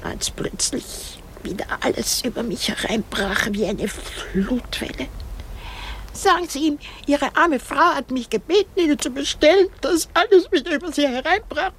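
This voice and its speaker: A woman's voice: high-pitched, expressive, normal speed, a little hiss or hum. An actress in a radio drama playing a weary, querulous old married woman, dramatic, self-pitying and given to exclamations.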